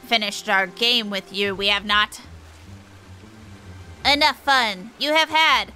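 A woman's voice: medium pitch, slow, bright, forceful tone, soft background music, voice pushed down, rough recording. A woman voicing a cruel, bullying bird-man chieftain from a fantasy game, taunting in inverted word order with squawks. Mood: gloating, menacing.

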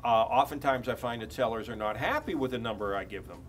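An older man in his sixties giving a confident real estate listing pitch in an office conference room. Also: quick pace, a little hiss or hum. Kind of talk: mock listing presentation.

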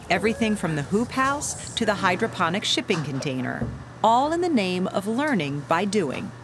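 Lively woman narrating a TV segment. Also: medium pitch, background noise. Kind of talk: scripted TV narration.

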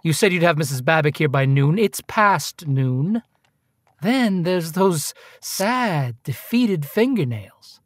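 An actor playing a self-righteous detective in a radio play, questioning in a clipped, insinuating way. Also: male voice, fairly high pitch, animated, takes it slowly, no room sound, clean studio sound. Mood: needling, accusing. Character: probing, self-righteous male detective, needling a priest. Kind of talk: radio drama acting.

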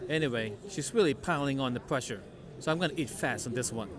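A man's voice: mid-range voice, quick pace. A middle-aged man chatting casually to camera as a food vlogger, laid-back and addressing viewers directly. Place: indoor sports bar restaurant. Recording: busy restaurant chatter.

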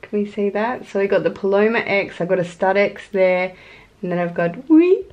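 A woman's voice: mid-range voice, animated, moderate pace, lo-fi sound. Australian female vlogger, thirties, mid-range voice, casual and gushing chat. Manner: chatty, gushing. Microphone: camera at arm's length or on table.

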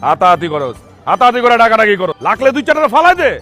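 Man acting a blustering cartoon boss, rowdy, rapid, sharp, faint music. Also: high voice, very animated, exaggerated voice. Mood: rowdy, goading.

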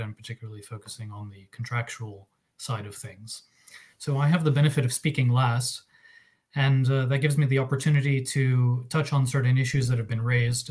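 Measured man, a lawyer on a panel. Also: medium pitch, darkish tone. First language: Russian. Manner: measured, articulate with light fillers. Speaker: arbitration lawyer, founder of a disputes practice. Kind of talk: panel talk on a video call.

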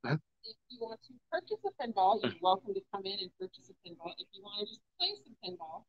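A woman's voice: medium pitch, sing-song, fast talker, muffled recording. A woman working at an arcade showroom, casually explaining machines and prices with fillers, picked up indoors by a phone. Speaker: showroom staff.

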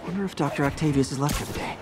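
A man's voice: on the high side, very fast, heavy background noise, lightly acted. A young American man acting a jokey, upbeat, wisecracking superhero in a video game, with mock-dramatic flair. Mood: casual. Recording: music under the voice.

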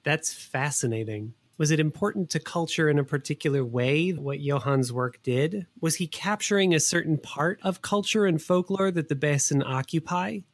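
A man's voice: on the high side, normal speed, few pauses, dry recording. A man with an American accent, hosting a podcast and asking questions in a conversational interview style. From United States.

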